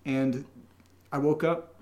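A man's voice: on the high side, flat delivery, slow, darkish tone. A man co-hosting a casual question-and-answer chat, telling stories in a playful, self-deprecating way. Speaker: podcast co-host and audio drama writer.

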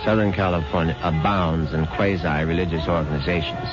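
A man's voice: deep voice, fairly even intonation, fairly quick, close to an everyday voice. An actor playing a wry, self-satisfied idle husband who narrates his own story in a dry, urbane way in a 1970s radio drama. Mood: wry narrating. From born in London, moved to the United States when young (character). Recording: dull lo-fi 1970s radio broadcast, loud music.

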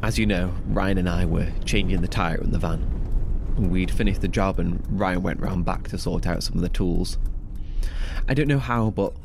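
Casting: gritty, protective survivor in horror audio drama, lowish voice, terse and sweary, noisy field recording. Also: male voice, moderate pace, gravelly. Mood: weary, wry. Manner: naturalistic, terse, sweary, wryly self-deprecating.

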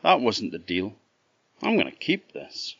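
A man's voice: lowish voice, wildly swooping pitch, unhurried, creaky, a bit bright, slightly rough, dry recording, lo-fi sound, exaggerated voice. Man playing a plucky, lilting young Scottish lad. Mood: stubborn.

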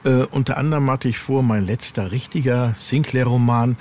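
A man's voice: mid-range voice, steady tone, normal speed, a little mellow. A man, a novelist, talking casually as a guest in a podcast interview.

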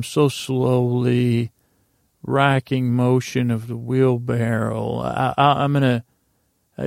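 A man hosting a podcast in a rambling, improvised bedtime-story style, halting and meandering, often repeating himself, with a creaky voice. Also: mid-range voice, a little mellow, dry recording, clean studio sound. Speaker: podcast host.

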